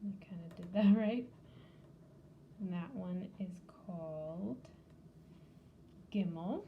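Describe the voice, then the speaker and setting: A woman's voice: lowish voice, takes it slowly, highs cut off, slight background noise. A woman hosting a children's library storytime, casually showing a craft and game step by step in simple words.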